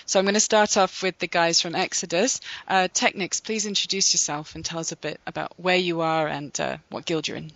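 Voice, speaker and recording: A podcast host reading a scripted recap in a steady, measured way, then introducing guests. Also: mid-range voice, vocal fry, no room sound, highs cut off.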